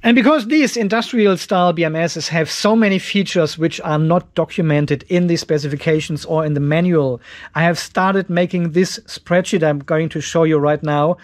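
A man's voice: a little high, moderate pace. A man in his fifties giving a casual, step-by-step explainer to camera as a video host, with informal, emphatic phrasing. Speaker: host of an off-grid solar and battery channel. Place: cluttered garage workshop.

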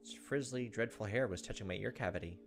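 Low-voiced man reading a game story aloud. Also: fast talker, vocal fry. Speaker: gaming video host. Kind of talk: reading visual novel narration aloud with side commentary. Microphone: desk microphone close up. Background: game music.

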